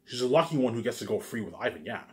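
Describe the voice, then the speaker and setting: A man's voice: medium pitch, expressive, racing, a little mellow. A man giving a rambling, informal monologue, repeating himself emphatically, swearing and restarting his phrases. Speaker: vlogger.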